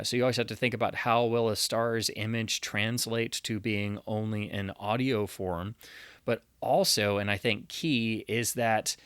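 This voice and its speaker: A man's voice: mid-range voice, a bit bright, smooth, no echo, clean studio sound. A man delivering review commentary in an earnest, articulate, enthusiastic way, as a critic talking through a subject he likes. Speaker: reviewer, radio series critic. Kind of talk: review commentary, semi-scripted monologue.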